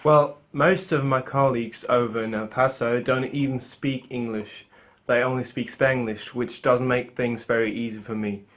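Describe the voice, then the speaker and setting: Student playing a weary drug agent, flat voice. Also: male voice, medium pitch, moderate pace, lightly acted. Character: male federal drug agent, tired, frustrated.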